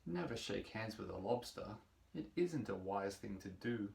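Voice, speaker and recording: A man reading a rhyming picture book aloud for young children in a measured, playful storytelling manner. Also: on the low side, animated, gravelly, darkish tone. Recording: roomy echo, distant microphone.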